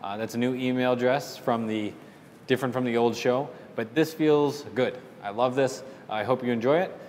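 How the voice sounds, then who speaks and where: A man's voice: steady tone, normal speed, darkish tone. A man hosting a question-and-answer show, giving informal, opinionated and explanatory advice off the cuff. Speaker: host answering listener questions on salon business. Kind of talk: casual unscripted business advice. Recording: roomy reverberant hall, low rumbling noise.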